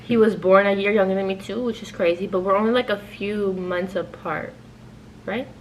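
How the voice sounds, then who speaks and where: Brief: young woman vlogger, mid-pitched, loose and talkative, with a light hiss behind her. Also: steady tone, moderate pace, low-quality recording. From New York, raised in New Jersey. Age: 20s. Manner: chatty, playful, swears freely. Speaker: channel host. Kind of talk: casual couples Q&A chat.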